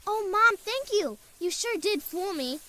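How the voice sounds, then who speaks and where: A woman playing an innocent, excitable little boy in an old-time radio drama, with a childlike, earnest delivery. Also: very high-pitched, exaggerated voice. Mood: excited. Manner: childlike, earnest, halting when confessing.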